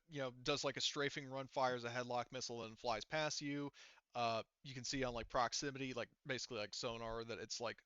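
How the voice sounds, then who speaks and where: A man's voice: medium pitch, bright, forceful tone, clean tone, no room sound, muffled recording. A man working as game master, casually narrating a tabletop roleplaying game and thinking aloud with some swearing.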